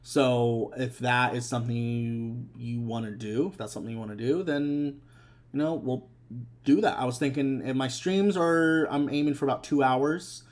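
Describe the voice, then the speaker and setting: A man's voice: medium pitch, normal speed. A young adult man hosting a casual live stream, rambling with many fillers and restarts. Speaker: streamer and host.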